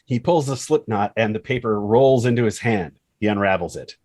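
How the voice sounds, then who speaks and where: A man's voice: fairly low pitch, moderate pace, darkish tone, no echo. A man reading stage directions as the narrator of a screenplay table read.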